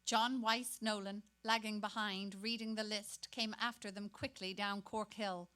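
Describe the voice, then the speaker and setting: A woman's voice: medium pitch, steady tone, a little slow, sharp tone. A woman reading narrative passages of a novel in a staged dramatic reading.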